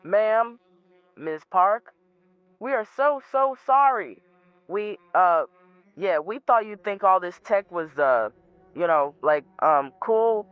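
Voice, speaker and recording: A man's voice: high voice, animated, takes it slowly, smooth, dry recording. A man playing a nervous, apologetic kid who hesitates and stammers in an audio drama, with a thin phone-like sound. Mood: sheepish.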